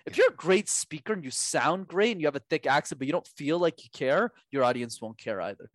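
A man who coaches public speaking, talking as a podcast guest in an energetic, emphatic way. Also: on the high side, fairly quick, no room sound. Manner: energetic, emphatic, animated explanations. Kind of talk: casual interview chat.

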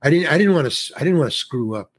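An older man with a husky, slightly raised voice tells a winding story from his career. He restarts his sentences and slips into quoted dialogue as he talks. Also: a little high, expressive, racing. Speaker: guest actor. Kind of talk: casual anecdote in an interview.

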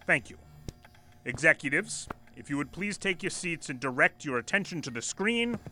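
An animated, earnest corporate manager. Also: male voice, a little high, moderate pace, slight background noise. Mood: formal, grave. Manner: formal, insistent, speech-making. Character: earnest former corporate manager turned protector, pleading, lecturing, stubborn. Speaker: presenter at a company meeting. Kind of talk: audio drama acting, satirical dystopian comedy.

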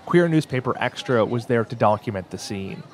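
A man narrating a documentary podcast as its host, in a calm, descriptive storytelling manner. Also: mid-range voice, moderate pace.

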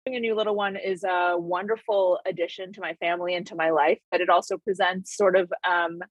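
A woman's voice: lowish voice, fairly even intonation, fast, dry recording, lo-fi sound. A woman in her forties from Connecticut, talking as an interview guest in a reflective way, with frequent fillers and light laughs. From Connecticut, USA. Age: 40s. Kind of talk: conversational interview.

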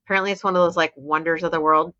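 Woman co-host, bright lower voice, dry slightly dull recording, rambling casual chat. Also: steady tone, very fast, dry recording. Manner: chatty, rambling with restarts. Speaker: podcast co-host.

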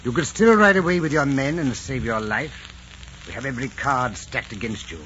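An actor playing a threatening, scheming noble in theatrical 1940s radio drama style. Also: male voice, medium pitch, moderate pace, fairly bright tone, natural voice. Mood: cold menace. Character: menacing male court noble, scheming enemy. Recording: muffled, hissy 1940s radio recording.

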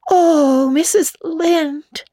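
A woman's voice: sits high, fairly even intonation, drawn-out words, dark tone, no echo, studio quality, voice pushed up. A woman voicing a gushing, imaginative orphan girl with theatrical, earnest delivery in a storybook reading. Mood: hopeful, gushing. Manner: theatrical, effusive, earnest pleading. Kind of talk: dramatic audiobook reading.

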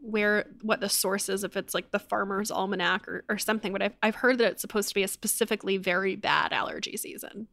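A woman's voice: mid-range voice, fairly even intonation, quick pace, gravelly, few pauses, fairly bright tone. A woman co-hosting a podcast, chatting casually and curiously, sounding stuffy from allergies. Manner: chatty, curious, full of fillers. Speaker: podcast co-host. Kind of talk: casual chat.

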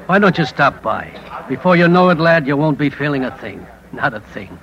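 A man's voice: on the high side, very animated, moderate pace. An actor playing an older, seasoned newspaperman in a 1950s radio drama, speaking with dry, laconic, fatherly stoicism. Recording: dull, noisy vintage radio recording.

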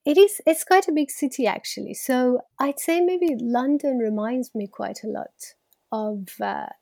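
A woman's voice: high voice, measured pace, gravelly, warm tone, no room sound, studio quality. A woman in her thirties from Iran, speaking as an interview guest in a reflective, conversational way and laughing easily. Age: 30s.

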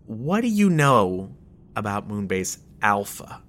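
A man's voice: on the low side, big pitch swings, slow, fairly bright tone, exaggerated voice. A man playing a wary anti-corporate rebel, articulate, formal and emphatic in his delivery. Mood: wary, determined. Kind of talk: audio drama acting.

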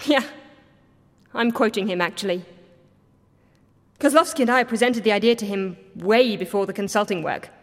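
An actress playing a proud, dismissive schemer with a haughty manner in an audio drama, in a reverberant space. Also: female voice, medium pitch, normal speed, long pauses, lightly acted. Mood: guarded. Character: proud scheming woman who prizes flair, dismissive. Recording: reverberant enclosed space effect.

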